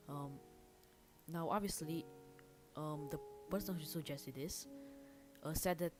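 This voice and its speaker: A woman casually chatting on a livestream as she works, thinking aloud in an informal, self-deprecating way. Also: deep voice. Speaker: livestreamer and hobby game developer. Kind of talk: casual livestream chat while working. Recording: music and typing behind the voice. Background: background music, keyboard typing.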